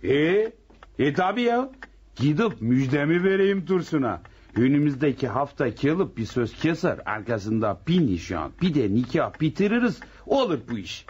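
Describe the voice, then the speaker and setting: A pious, self-assured old uncle in a radio play. His voice is fairly high and swings very widely in pitch, on a dull recording. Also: big pitch swings, normal speed, darkish tone, slightly rough. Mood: self-satisfied. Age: older. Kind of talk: radio theatre acting.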